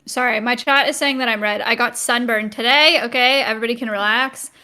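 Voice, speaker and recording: Casting: young woman, early twenties, podcast co-host, bright forward voice, slightly high, informal chat. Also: fairly quick, clean tone. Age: 20s. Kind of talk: casual chat.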